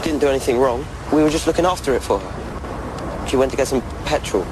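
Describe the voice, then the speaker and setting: A man's voice: mid-range voice, expressive, fairly quick, lightly acted. A man playing a defensive young suspect in an audio drama. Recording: noisy street with passing vehicles.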